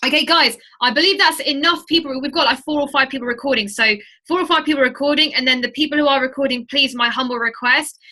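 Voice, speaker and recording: A woman's voice: high voice, bright, forceful tone. A woman hosting an informal online training webinar, chatty and emphatic, urging her listeners on as she talks to them directly. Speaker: webinar host and trading mentor.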